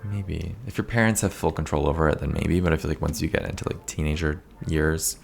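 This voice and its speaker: A young man talks through a voice-over in a very deep, gravelly voice with a crackly fry. He is a little hoarse, as if losing his voice, and rambles along casually, dropping "like" into nearly every thought. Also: fairly even intonation, fairly quick. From Canada. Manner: chatty, rambling, says "like" often. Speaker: video game builder, channel host.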